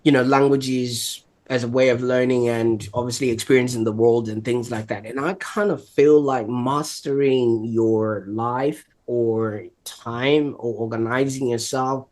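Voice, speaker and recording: Casting: male podcast host, mid-pitched, easygoing, searching questions. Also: steady tone, unhurried, hardly any pauses, a little mellow. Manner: loose, searching questions with fillers. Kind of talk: interview conversation.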